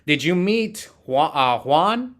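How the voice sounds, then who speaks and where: A man streams an online card game with a bright, crisp-edged voice. He thinks aloud about his moves in a casual way, restarting phrases and chatting to the people watching. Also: fairly high pitch, very animated, slow pace. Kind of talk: casual live game commentary, chatting with viewers.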